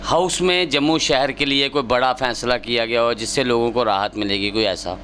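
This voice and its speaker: A man's voice: medium pitch, steady tone, moderate pace, pushed and bright, a little hiss or hum. A man asks questions as a reporter in a news interview.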